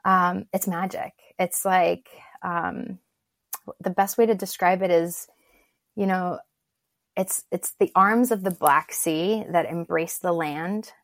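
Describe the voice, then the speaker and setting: A woman from Turkey talks as an interview guest, reflective and encouraging. Her voice sits a little low and carries a gravelly fry, and the sound is close and dry. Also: fairly even intonation, slow pace, no echo. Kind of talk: casual interview talk.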